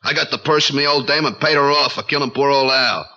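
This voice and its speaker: Sharp-voiced dim gangster henchman. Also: mid-range voice. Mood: accusing. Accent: American. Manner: slangy, slow-witted thug talk. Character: dim, frightened little male gangster henchman. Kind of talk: 1940s radio drama acting. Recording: muffled 1940s radio recording.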